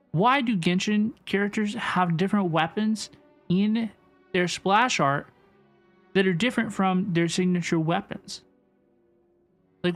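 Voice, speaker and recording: A woman livestreaming a game, chatting casually with viewers in an animated, repetitive way as she reacts and reads chat. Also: medium pitch. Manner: animated, repeats questions, reads chat aloud. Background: game music.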